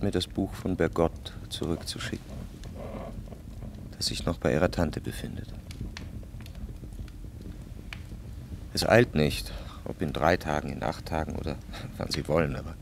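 Low-voiced brooding jealous man. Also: gravelly, background noise. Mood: controlled, melancholy. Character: brooding, jealous, well-to-do male narrator tormented by love. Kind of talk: literary radio play, introspective first-person narration.

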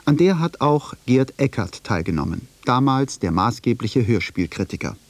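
A man's voice: mid-range voice, very animated, normal speed, dry recording. A man reading scripted documentary narration as the narrator of a radio feature.

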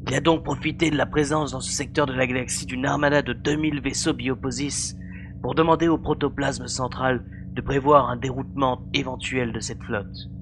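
Casting: a man as an authoritative starship major, medium pitch, formal and dry, sci-fi audio drama, noticeable hum and hiss. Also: fairly even intonation, lightly acted. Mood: commanding. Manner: formal, measured, commanding. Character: authoritative male space major, confident, dryly mocking commander. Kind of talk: acted audiobook dialogue. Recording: clearly noisy background with hum.

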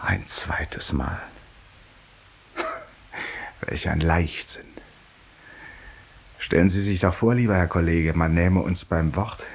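Cynical ex-lawyer, low husky voice, theatrical and confiding, self-accusing monologue, 1950s radio drama. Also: male voice, huge pitch swings, takes it slowly, long pauses, heavily acted. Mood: hushed, bitter. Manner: theatrical, confiding, swinging between fervour and hush.

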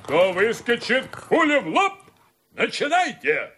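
An actor in a radio play voicing a nasal, creaky, fawning male island native who talks in an effusive singsong. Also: extremely high pitch, wildly swooping pitch, music in the background, full character voice. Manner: obsequious, effusive, slow and drawn out.

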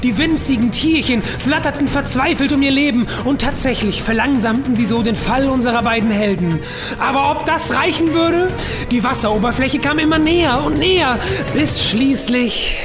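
A woman's voice: high-pitched, normal speed, over music, lightly acted. A woman playing a wry, melodramatic narrator of a comic adventure audio drama, telling it in mock-epic style. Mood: suspenseful.

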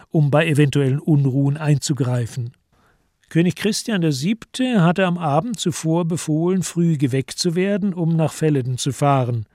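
A man narrating a literary radio play from a script in a measured, solemn manner. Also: a little high, mellow, no echo, clean studio sound.

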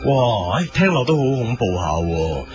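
A theatrical host of a spooky sci-fi chat show played by a man, prodding his guest with a widely swinging pitch. It is radio comedy. The sound is dull, with music behind. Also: sing-song, normal speed, lightly acted. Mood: mock spooky. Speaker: comic talk show host. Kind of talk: mock paranormal interview, radio comedy.

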